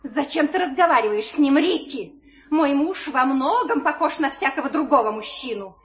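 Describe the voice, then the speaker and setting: A plucky mother songbird, speaks briskly and theatrically in a very high voice. The fairy-tale drama sounds like a dull old vinyl recording. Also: animated. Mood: brisk, scolding. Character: sharp, sensible, brave mother songbird. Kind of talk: Soviet audio fairy-tale acting on record.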